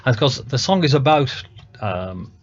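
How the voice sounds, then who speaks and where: A man's voice: medium pitch, big pitch swings, normal speed, a little hiss or hum. A man with a Northern English accent, chatting as a guest on a radio show about his song, hesitant and full of ums and restarts. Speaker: songwriter guest. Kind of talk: casual radio chat. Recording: dull lo-fi radio audio.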